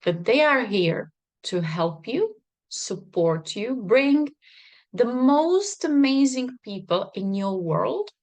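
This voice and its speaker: A woman's voice: on the high side, big pitch swings, slow pace, darkish tone. A woman, a non-native English speaker, talking to a live stream audience as a business coach in a bubbly, emphatic way with frequent laughter. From not Czech, worked in Czech Republic. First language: not English. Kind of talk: live stream talk to viewers.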